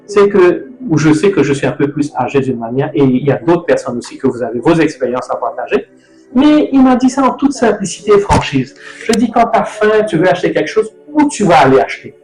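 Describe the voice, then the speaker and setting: A man's voice: a little high, very animated, brisk. A middle-aged man from Mauritius hosting a casual talk on food, rambling and correcting himself as he goes. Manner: rambling, loose, self-correcting. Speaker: host. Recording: echoey room with music. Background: soft music.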